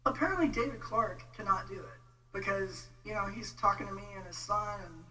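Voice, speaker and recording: A man with a Texan accent giving an informal, halting, exasperated rant on a casual livestream. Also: high-pitched, pushed and bright. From Texas, United States. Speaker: vlogger, religious debate commentator. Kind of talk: casual livestream rant replying to viewer comments. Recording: distant mic with faint noise and hum.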